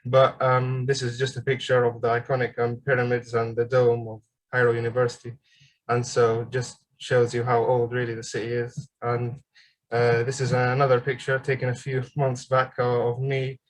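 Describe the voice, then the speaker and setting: A man in his twenties from Egypt giving an online seminar presentation as a graduate student, pausing often with ums and uhs. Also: mid-range voice, completely flat delivery, poor audio. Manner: hesitant, frequent ums and uhs. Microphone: video call.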